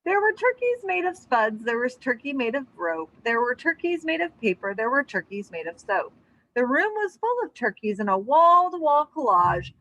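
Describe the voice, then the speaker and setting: A woman storyteller reads to children in a fairly high voice. Her delivery is expressive and animated, rising and falling a lot, and the recording is dry and close. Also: normal speed, no room sound, muffled recording. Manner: expressive, addresses young listeners with asides. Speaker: storyteller reading aloud to children. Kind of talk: animated children's read-aloud.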